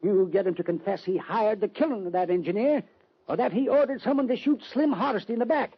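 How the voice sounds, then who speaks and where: A man playing a stubborn, gruff old sheriff in a radio western. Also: sits high, quick pace. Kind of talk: 1940s-50s radio western acting. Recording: muffled old-time radio recording.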